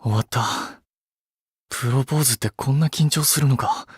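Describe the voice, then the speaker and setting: A man's voice: medium pitch, normal speed, slightly rough, dry recording, clean studio sound, natural voice. A man playing an earnest, blunt young male idol in a Japanese mobile game, with a restrained way of talking. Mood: flustered.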